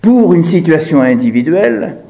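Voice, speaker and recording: A man lectures in a fairly high, mellow voice with very animated intonation. He explains step by step in a didactic way, asking rhetorical questions and answering them himself. Key phrases come back repeated for emphasis. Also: normal speed, highs cut off. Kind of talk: explanatory talk, commenting on quoted text.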